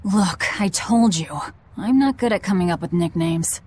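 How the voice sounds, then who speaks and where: A woman playing a blunt, aloof, world-weary young fighter in a video game, speaking in a dry, curt deadpan. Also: lowish voice, normal speed, a little hiss or hum, close to an everyday voice. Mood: deadpan, unimpressed. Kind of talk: English video game voice acting.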